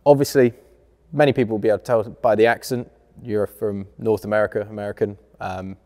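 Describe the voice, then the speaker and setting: A man's voice: fairly low pitch, steady tone, a little fast, mellow, big-room sound. A man interviewing a guest, asking friendly, teasing questions.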